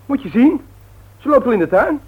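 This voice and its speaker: An actor with a Dutch accent playing a hurried, fussing husband in a domestic radio drama. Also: male voice, very high voice, big pitch swings, breathy edge, heavily acted. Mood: harried. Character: hurried husband fussing while dressing for work. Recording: thin phone-like old radio recording.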